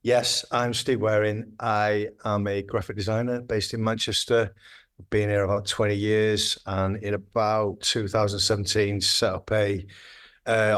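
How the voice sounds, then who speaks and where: A man's voice: fairly low pitch, a bit bright. A man in his thirties, a graphic designer, talking casually in a podcast interview, rambling and restarting his sentences. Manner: rambling, hesitant, with frequent restarts.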